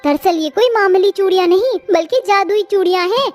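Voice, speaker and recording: A woman voicing a kind, emotional young mother who sells bangles, in an earnest, expressive storybook style. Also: extremely high pitch, a little fast, soft background music. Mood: pleased.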